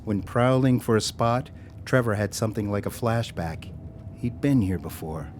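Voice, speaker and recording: An actor as the narrator of an audio drama, telling a scripted story in a wry, sardonic way. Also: male voice, fairly low pitch, moderate pace, a little mellow, a little hiss or hum, natural voice. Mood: wry, measured.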